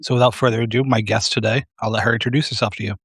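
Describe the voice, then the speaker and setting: A man's voice: mid-range voice, fairly even intonation, racing, breathy edge, dry recording, clean studio sound. A man hosting a casual live interview on a video call, rambling and self-deprecating with many fillers. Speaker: host.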